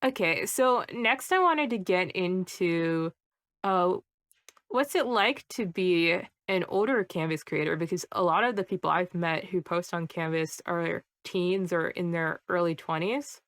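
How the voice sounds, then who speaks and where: Woman, early twenties, interview host; low-set voice, curious and friendly, dry sound. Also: a little slow, a bit bright, no echo. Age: early 20s. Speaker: interview host, webcomic YouTuber. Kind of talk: casual interview chat.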